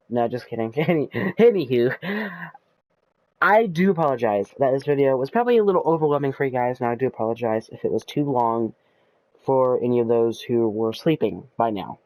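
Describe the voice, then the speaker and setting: Dude rambling bout a game, lots of ums n uhs, excitable, swears a bit, dry close audio. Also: male voice, mid-range voice, no room sound, dull audio. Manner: casual swearing. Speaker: gaming YouTuber. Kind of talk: casual rambling game commentary.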